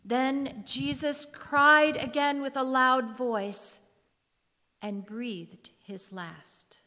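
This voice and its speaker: A woman's voice: high-pitched, extremely slow, pushed and bright. A woman narrating scripture solemnly from a script, in a large reverberant room. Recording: echoey hall with long reverb.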